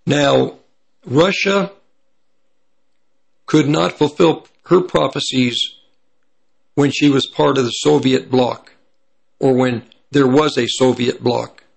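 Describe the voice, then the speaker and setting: Gravelly, hoarse man giving commentary. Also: medium pitch, rough recording. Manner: pauses to correct himself mid-sentence. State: slightly raspy voice from hay fever. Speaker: commentator on Bible prophecy. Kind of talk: commentary reading from an article.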